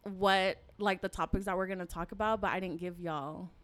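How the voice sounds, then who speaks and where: A young woman hosting a podcast in casual chat, with a slightly raspy voice, says y'all and laughs a lot. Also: fairly even intonation, moderate pace. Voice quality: raspy, low voice. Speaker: podcast host. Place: studio set.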